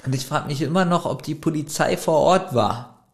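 A man's voice: on the high side, moderate pace, gravelly. A young man co-hosting a podcast, chatting playfully about an audio drama and laughing easily. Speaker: podcast co-host. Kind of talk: casual chat reviewing an audio drama.

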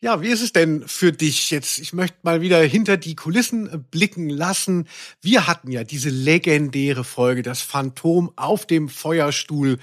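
A man's voice: on the high side, unhurried. A man hosting a podcast in casual chat, wordy and playfully ironic. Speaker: podcast host.

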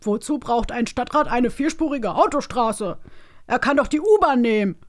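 Woman playing Benjamin, an earnest elephant, slightly high voice. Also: lively intonation, normal speed, no room sound, clean studio sound, lightly acted. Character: Benjamin, earnest male elephant pleading for trees and peace. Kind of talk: improvised read-through of children's audio drama.

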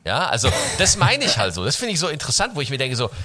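A young man hosts a casual podcast chat and jokes around in slangy speech. His pitch swings widely and his tone is bright, though he has a cold. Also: fast talker. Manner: animated, jokey, slangy. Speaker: podcast host.

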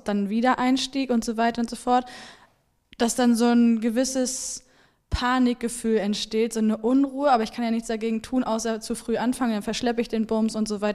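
A woman's voice: a little high, a little mellow. A young woman hosting a casual podcast conversation, chatty and asking follow-up questions. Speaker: podcast host.

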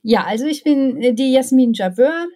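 Guest, female, higher voice, chatty with lots of fillers and laughs. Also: lively intonation, normal speed, warm tone. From Ruhr area, Germany. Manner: chatty, laughs often, many "ähm" fillers. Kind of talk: casual storytelling interview.

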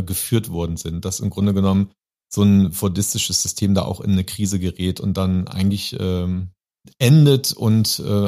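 A man's voice: lowish voice, mellow. A man hosting a political discussion, speaking in long, earnest, academic sentences. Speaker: podcast host and interviewer.

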